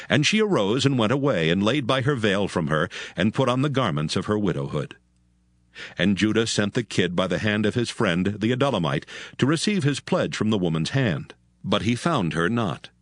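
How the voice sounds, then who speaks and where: A man narrating an archaic scripture text in a measured, solemn reading. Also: lowish voice, very animated, no room sound.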